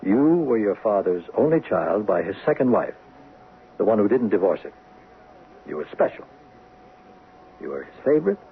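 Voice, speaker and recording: An actor playing a frank, calmly authoritative psychiatrist in a 1970s radio drama, questioning with measured pauses. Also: male voice, lowish voice, vocal fry, slight background noise. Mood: probing. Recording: thin narrow-band vintage radio broadcast.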